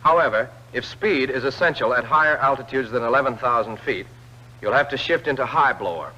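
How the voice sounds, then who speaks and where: A man reads scripted instructional narration for a 1940s military training film, clearly addressing the trainee directly. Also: mid-range voice, fairly quick, pushed and bright. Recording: old film soundtrack, dull lo-fi band.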